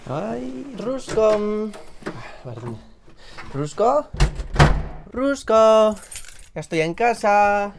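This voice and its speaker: A doting dog owner in a radio play. She calls and coos in a lively, swooping, unhurried way, amid loud background noise. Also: mid-range voice, exaggerated voice. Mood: doting, searching. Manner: cooing, searching calls. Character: doting pet owner calling a missing dog. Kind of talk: radio drama acting.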